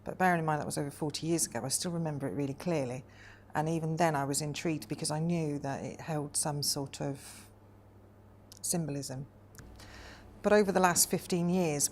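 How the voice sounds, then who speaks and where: A woman in her forties from Wales with a low voice and a gravelly, creaky edge, reflecting on her life in an interview. She talks thoughtfully and hesitantly, filling pauses with ums, over a faint background ambience. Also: darkish tone, a little hiss or hum. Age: 40s.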